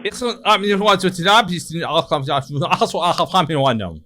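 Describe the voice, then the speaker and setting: Man putting on an excitable high-voiced babbling foreign guest. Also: slight background noise. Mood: agitated babbling. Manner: babbling, halting, interjection-filled. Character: agitated foreign male hotel guest, babbling complaints. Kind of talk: prank call character.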